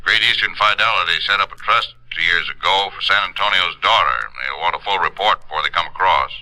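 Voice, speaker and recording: A man's voice: low voice, a little fast, sharp tone, heavily acted, poor audio. An actor playing a terse, businesslike American insurance man in 1950s radio drama, heard as if over a telephone. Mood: businesslike, grave.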